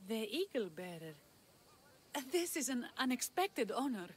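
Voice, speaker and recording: Solemn priestess over ambient noise. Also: female voice, fairly high pitch, sing-song, a little slow. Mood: reverent. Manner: formal, reverent, grandiose. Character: solemn, devout, flattering village priestess. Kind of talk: video game cutscene acting.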